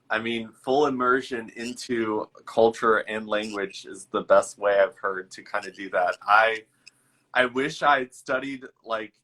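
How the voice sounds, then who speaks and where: A man's voice: on the low side, sharp tone, poor audio. A man from Virginia hosting a casual interview over a video call, friendly and upbeat. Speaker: podcast host.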